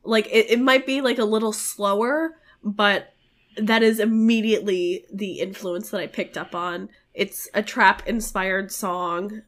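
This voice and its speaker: A woman with a cold chats on her podcast, her voice stuffy and nasal. She talks in a frank, playful way, quick to laugh. Her pitch sits in the middle range. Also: steady tone. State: congested with a cold. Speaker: podcast co-host. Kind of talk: casual chat. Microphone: home recording mic.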